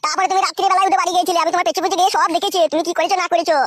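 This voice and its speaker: A man's voice: extremely high pitch, fairly even intonation, very fast, fairly bright tone, slight background noise. A man voicing a tattling, insolent young husband in a comic cartoon, whining and crude. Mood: accusing. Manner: whining, crude, tale-telling.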